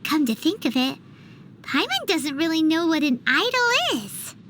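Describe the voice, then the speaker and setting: A woman voicing a tiny, chatty, cheeky floating fairy companion in a video game, with a squeaky childlike voice and an excitable manner. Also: a little slow, slight background noise, full character voice. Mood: curious, cheeky. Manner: speaks of self in third person, excitable. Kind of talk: video game character dialogue.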